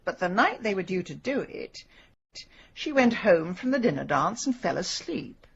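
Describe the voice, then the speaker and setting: An actress playing a blunt, sharp-tongued amateur sleuth with an English accent in a radio drama. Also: female voice, mid-range voice, big pitch swings, normal speed, creaky, breathy edge, muffled recording, heavily acted. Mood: accusing. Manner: blunt, dry, wry.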